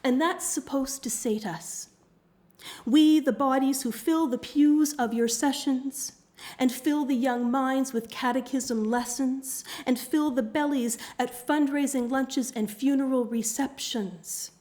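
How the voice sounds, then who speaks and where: Slow, high-voiced woman reciting poetry. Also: mellow. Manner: deliberate, measured, impassioned. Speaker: open mic reader. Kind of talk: dramatic spoken-word poetry recital.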